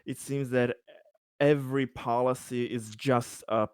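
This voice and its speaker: A man's voice: medium pitch, steady tone, a little slow, smooth, no echo. A male tabletop player discusses game strategy in a casual but analytical way, repeating words as he goes. His voice is mid-range. The sound is dry, with no room on it.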